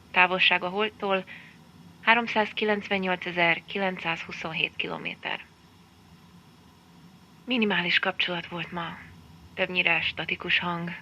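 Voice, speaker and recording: Woman log keeper, matter-of-fact, forceful bright voice, faint noise, audio drama. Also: lowish voice. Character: woman logging sparse contact reports, matter-of-fact. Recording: dull radio-like sound, faint noise.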